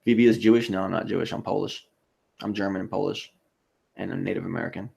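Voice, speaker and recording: A man hosting a casual livestream, reading out chat comments and replying in a rambling, halting way. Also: deep voice, steady tone, creaky, mellow, breathy edge. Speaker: live stream host.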